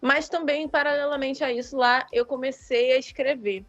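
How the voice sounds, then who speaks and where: A woman's voice: high voice, fairly even intonation, moderate pace, fairly bright tone, lo-fi sound, faint music behind. A woman in her thirties from Rio de Janeiro with a Carioca accent, talking casually as an interview guest and often correcting herself. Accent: Carioca Brazilian Portuguese. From Rio de Janeiro, Brazil. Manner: rambling, many fillers and self-corrections. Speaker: guest writer.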